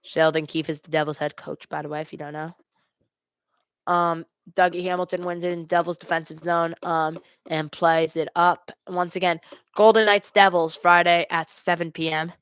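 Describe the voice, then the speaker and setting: A woman with a fairly low voice gives amateur live hockey play-by-play as a fan host. She mixes the calls with exasperated, complaining asides about the team. The sound is dry, with very little room echo. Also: little pitch movement, normal speed, a bit bright, clear, resonant tone. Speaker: fan commentator hosting a live hockey watch party.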